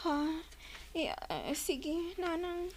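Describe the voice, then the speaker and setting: Woman playing a young wife, very high voice, whiny, demanding, audio drama. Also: sing-song, drawn-out words, full character voice. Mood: timid. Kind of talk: Ilocano audio drama acting.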